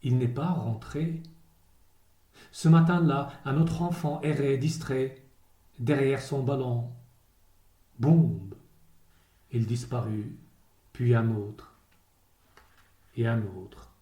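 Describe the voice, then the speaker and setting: Slow, dark-voiced man reciting poetry. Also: mid-range voice. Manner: measured, grave, short phrases with pauses. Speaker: poet reading a poem. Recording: roomy, echoing voice recording.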